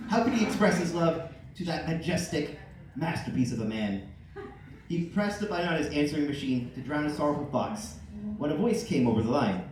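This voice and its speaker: A man's voice: fairly high pitch, expressive, fairly quick. A man reading a comedic fan fiction aloud to listeners, prone to cracking up as he goes. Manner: keeps cracking up mid-sentence. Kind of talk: live comedic story reading. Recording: echoey room, distant mic, faint noise.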